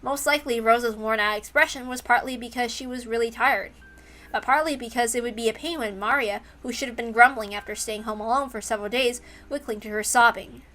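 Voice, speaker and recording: Bright, high-voiced woman reading a story. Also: a little fast, faint music behind. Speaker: reader and narrator.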